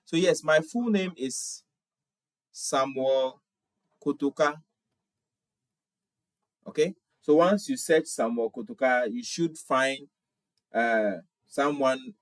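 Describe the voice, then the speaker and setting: A man's voice: medium pitch, slow pace, long pauses, no echo. A man in his twenties from Ghana with a Ghanaian accent, hosting a casual livestream, reading viewer comments aloud and answering them in a friendly way, often repeating himself. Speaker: livestream host.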